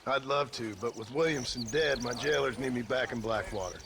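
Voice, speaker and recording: Game role: male actor as terse American gunslinger, gruff, sardonic, medium pitch. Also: brisk, a crowd in the background, natural voice. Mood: dry, weary. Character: gruff, blunt American outlaw forced into government service. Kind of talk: video game cutscene acting.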